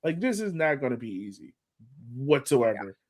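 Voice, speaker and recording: Man, sports podcast co-host, casual fan talk, emphatic, repeats himself for emphasis, mid-pitched voice. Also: sing-song, normal speed, a little mellow.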